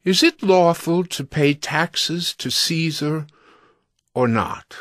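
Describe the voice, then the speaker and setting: An actor playing a flattering, smooth-talking disciple of the Pharisees in a scripture audio drama. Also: male voice, fairly high pitch, slow, dry recording, studio quality. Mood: sly flattery.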